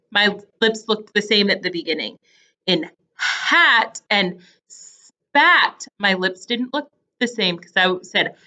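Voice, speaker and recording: A woman teacher giving a phonics lesson to young children, deliberately sounding out words in pieces and repeating questions to check understanding. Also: medium pitch, pushed and bright, low-quality recording. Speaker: early elementary teacher.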